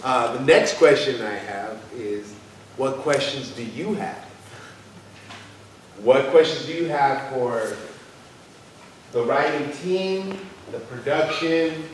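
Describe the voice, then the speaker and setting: A man's voice: mid-range voice, slow pace, pauses often. Man moderating in a very echoey hall. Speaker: discussion moderator. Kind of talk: informal post-show community discussion. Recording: very echoey, distant, with faint noise.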